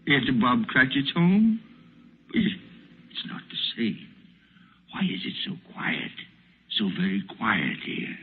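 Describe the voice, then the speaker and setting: An actor playing a frightened, repentant old miser, theatrical and pleading, in 1930s radio drama style. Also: male voice, mid-range voice, huge pitch swings, takes it slowly, pauses often, husky, narrow, tinny audio, full character voice. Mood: fearful, awed. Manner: theatrical, pleading, trembling exclamations. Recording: band-limited 1939 radio broadcast, music behind.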